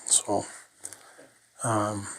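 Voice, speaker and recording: A man's voice: fairly low pitch, monotone, very slow, fairly bright tone. Rambling, folksy older man. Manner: rambling and folksy, chuckles often. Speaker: interviewee. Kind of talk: reminiscing life story.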